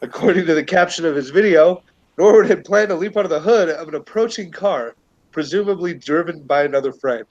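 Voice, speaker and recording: A man's voice: a little high, fairly quick, a little mellow. A man with a stuffed-up cold reading a news article aloud as a stream co-host, in a loose casual way. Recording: dull lo-fi call audio.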